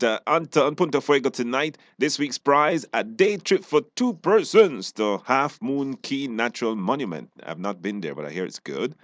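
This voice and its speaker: A man's voice: mid-range voice, dry recording. A man with a Belizean accent hosting a radio show in a casual, conversational style. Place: radio studio. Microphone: studio microphone.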